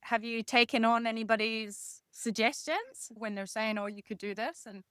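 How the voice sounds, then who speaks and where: A woman's voice: a little high, moderate pace, fairly bright tone, clear, resonant tone, dry recording, clean studio sound. A woman hosting a podcast interview, asking conversational questions and responding in an encouraging way.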